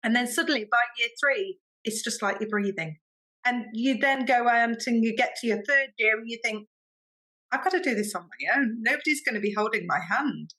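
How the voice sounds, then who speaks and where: A woman with an English accent, a podcast guest telling stories in a chatty, expressive way and acting out quoted dialogue. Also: a little high, normal speed, poor audio. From Britain. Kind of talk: animated storytelling in casual interview.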